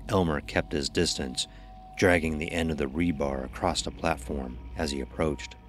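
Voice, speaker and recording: A man reading scripted horror narration in a measured, ominous storytelling manner. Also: a bass voice, fairly bright tone, soft background music.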